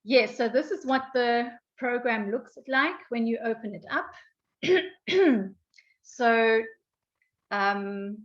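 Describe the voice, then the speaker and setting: A woman giving an online software training, explaining with frequent ums, her voice going croaky. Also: a little high, slow, long pauses. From South Africa. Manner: explanatory, many ums and restarts. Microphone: video call. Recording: dull lo-fi online call audio.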